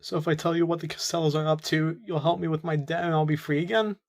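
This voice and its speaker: A man's voice: on the high side, steady tone, quick pace, lightly acted. A man playing a young captive mafia informant bargaining for his freedom in an audio roleplay, earnest and explanatory. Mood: earnest, uneasy.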